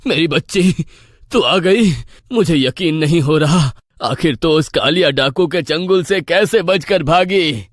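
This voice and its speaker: Timid cartoon villager male actor: high-pitched, fretful and pleading, recorded dry. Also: a little slow, no room sound. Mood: amazed relief. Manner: fretful, pleading, stammering with fright. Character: timid, anxious village man, pleading father. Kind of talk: Hindi cartoon horror story acting.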